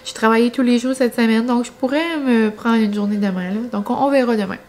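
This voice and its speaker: A woman's voice: fairly high pitch, brisk, a little mellow. A young adult woman from New Brunswick with a northern Acadian French accent, chatting casually to her viewers in a rambling, colloquial way. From New Brunswick, Canada. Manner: rambling, colloquial regional speech with filler words. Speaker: vlogger.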